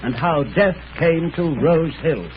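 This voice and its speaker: A man playing a genial, courteous gentleman doctor and storyteller in 1930s radio acting style, with small conversational hesitations. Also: on the high side, measured pace, natural voice. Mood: genial, confiding. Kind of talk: 1930s radio acting, scripted sponsor banter. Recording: muffled, noisy 1930s radio broadcast.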